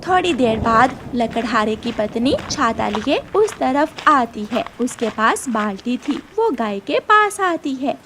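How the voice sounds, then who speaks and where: A woman's voice: a little high, very animated, measured pace, hardly any pauses, background noise. A woman narrating a scripted children's story as the narrator.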